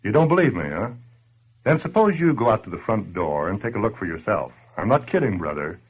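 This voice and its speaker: Tinny old radio: sardonic American wise guy, low swooping voice, needling the listener. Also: big pitch swings, brisk, breathy edge. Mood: sardonic goading. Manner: slangy, sardonic, badgering. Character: brash wisecracking male narrator needling the listener at home. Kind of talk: 1940s radio acting, direct address. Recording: tinny, band-limited old radio broadcast.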